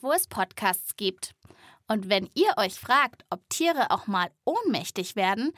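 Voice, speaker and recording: A woman narrates a children's animal podcast in a lively, playful way, speaking straight to young listeners. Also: on the high side, no echo, studio quality.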